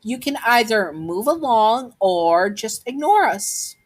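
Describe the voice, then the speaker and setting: A woman's voice: on the high side, expressive, takes it slowly, voice pushed up. A woman voicing a bold, blunt young child who fiercely protects a friend, in an amateur story narration. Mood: defiant. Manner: defiant, blunt.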